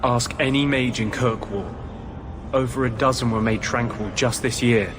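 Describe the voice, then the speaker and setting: An actor voicing an earnest, defiant mage healer with a measured, intense delivery in a dramatic video game. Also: male voice, mid-range voice, steady tone, noisy background, natural voice. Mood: earnest, determined. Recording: music behind the voice.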